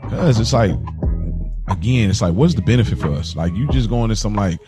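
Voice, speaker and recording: A man's voice: on the low side, animated, brisk, over music. A man co-hosting a podcast, chatting casually in informal slang. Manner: informal, slangy, often says bro and like. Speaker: podcast co-host. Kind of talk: casual chat.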